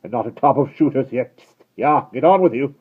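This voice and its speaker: A vexed coachman barking at his horses and the guard, put on by a man reading aloud. Rough and irritable, lifted from a deep voice, on thin phone-like sound. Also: moderate pace, natural voice.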